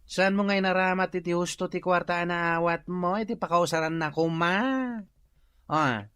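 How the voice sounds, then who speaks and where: A man's voice: moderate pace, clear, resonant tone, dry recording, clean studio sound. A man playing a nagging friend who lectures others about work and money in a radio comedy drama. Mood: lecturing. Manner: scolding, lecturing, lively pitch.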